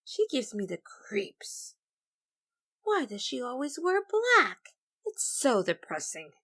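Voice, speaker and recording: A woman's voice: sits high, wildly swooping pitch, slow pace, fairly bright tone, voice pushed up, heavily acted. A woman voicing snobbish, disgusted birds in a picture book, with a disdainful, dramatic delivery.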